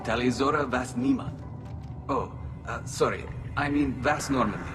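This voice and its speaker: A man acts out a courteous, slightly apologetic alien officer in a sci-fi game. His voice is middling in pitch, with music playing behind it. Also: moderate pace, close to an everyday voice. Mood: polite, apologetic. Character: polite, apologetic male alien shuttle officer. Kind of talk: video game voice acting.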